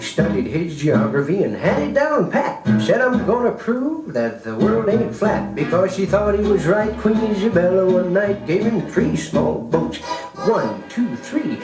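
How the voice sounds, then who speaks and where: A wise, scolding old owl from a children's musical storybook record. The folksy patter is half sung and swings widely in pitch, over loud music on a roomy vintage recording. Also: male voice, big pitch swings, unhurried. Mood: spirited, instructive.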